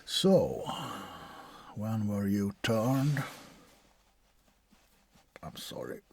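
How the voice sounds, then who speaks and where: A man playing a bored, sardonic, centuries-old vampire doctor in a soft-spoken, deadpan ASMR role-play, often slipping into a whisper. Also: fairly low pitch, exaggerated voice. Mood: bored, hesitant. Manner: deadpan, hesitant, drawn-out, often dropping to a whisper.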